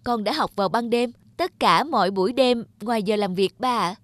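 An earnest, emotional young son. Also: male voice, sits high, normal speed, a bit bright, no echo, clean studio sound. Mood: determined. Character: earnest young animator son longing for his father's approval. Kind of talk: animated drama dubbing. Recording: background music under the voice.

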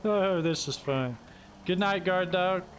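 A man's voice: high-pitched, slow pace. A man streaming a video game with friends, chatting casually and playfully in a folksy way with frequent exclamations. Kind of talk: casual gaming chat with stream viewers. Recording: noisy, with game vehicle sounds.

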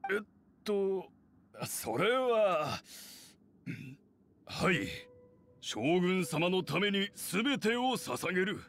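A man's voice: high voice, sing-song, drawn-out words, pauses often, a bit bright, a little hiss or hum, heavily acted. An actor playing a scheming, easily indignant elderly clan head in an anime game, formal and deferential to his ruler. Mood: defensive, obsequious. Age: older. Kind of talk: anime game character acting.

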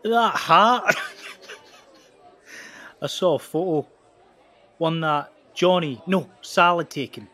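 Laid-back, informal young male slacker for audio drama, easily amused, drawn-out halting delivery. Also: on the high side, expressive, sharp tone, heavily acted. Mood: giggly. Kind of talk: audio drama testimony, casual.

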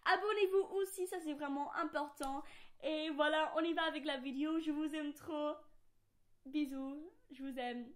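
Soft-spoken young Englishwoman. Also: high-pitched, fairly even intonation, normal speed. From England. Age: young adult. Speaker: vlogger. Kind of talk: casual chat to camera. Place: bedroom.

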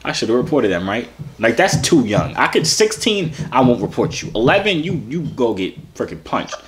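Animated young man, playfully teasing. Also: mid-range voice, moderate pace. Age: 20s. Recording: clearly noisy room recording with clicks.

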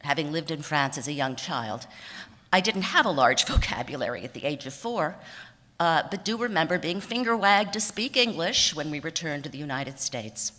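A woman in her sixties giving an award acceptance speech from notes at a podium, warm and self-deprecating, with small laughs and restarts. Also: low-pitched, animated, moderate pace. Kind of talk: prepared speech read from notes. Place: banquet hall stage at a podium. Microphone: podium microphone on a stand. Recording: reverberant banquet hall.

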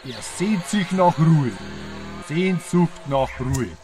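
A man's voice: fairly high pitch, animated, takes it slowly. A man playing a sceptical grumbler in an experimental radio play. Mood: musing. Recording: music behind the voice. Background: music.

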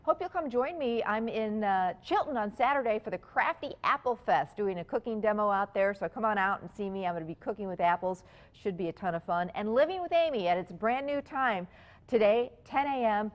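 A woman's voice: mid-range voice, lively intonation, a little fast, muffled recording. A woman, hosting a morning TV cooking segment in a studio kitchen and chatting cheerfully as she cooks. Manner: chatty, upbeat, talks while cooking.

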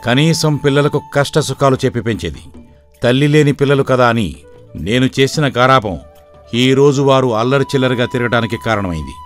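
A man playing a weary, worried but shrewd middle-aged village father in an animated moral story, speaking plainly and earnestly. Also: medium pitch, fairly quick, natural voice. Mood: weary, regretful. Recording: background music throughout.

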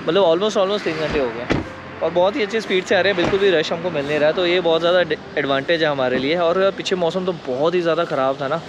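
A man's voice: fairly high pitch, noisy background. A young man chatting casually as a travel vlogger, teasing his friends on a road trip. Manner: quick, playful teasing banter with friends. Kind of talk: casual vlog chat. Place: outdoors and in a car on a road trip. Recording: loud background music.

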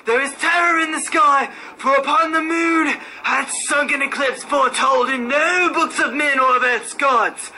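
A man voicing a wild prophet in a horror story, declaiming and shouting in frenzy. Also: very high voice, a little slow, hardly any pauses, voice pushed up, full character voice. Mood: frenzied awe. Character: exultant wild old prophet, shrieking from ecstasy into terror. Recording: noisy radio station room.

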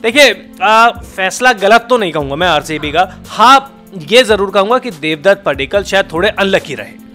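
A man's voice: high voice, animated, moderate pace, sharp tone, faint music behind. A man in his thirties, a cricket analyst on a studio panel, thinking aloud and explaining at length into a handheld mic. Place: indoor TV studio set.

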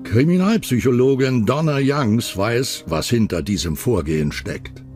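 A man's voice: mid-range voice, animated, measured pace. A man narrating a true-crime documentary in a dramatic, suspenseful scripted style. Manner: suspenseful, rhetorical questioning. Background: background music.

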